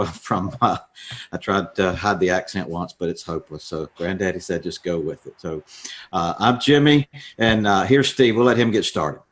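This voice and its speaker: A man's voice: low voice, fairly bright tone. An older man, co-hosting a dental webinar in a folksy, upbeat way with frequent fillers. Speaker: dental lab expert and webinar co-host. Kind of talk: casual webinar introduction.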